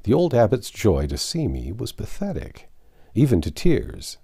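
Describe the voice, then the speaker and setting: A man narrating a classic novel aloud in an expressive, measured and dramatic literary style. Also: lowish voice, gravelly, slightly rough, no echo, clean studio sound. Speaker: audiobook narrator.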